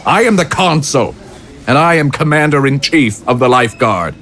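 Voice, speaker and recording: An actor playing an imperious, impatient consul in an audio drama, speaking with stern, formal authority. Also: male voice, medium pitch, animated, normal speed, fairly bright tone. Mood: angry, commanding. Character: imperious, impatient male consul commanding an army.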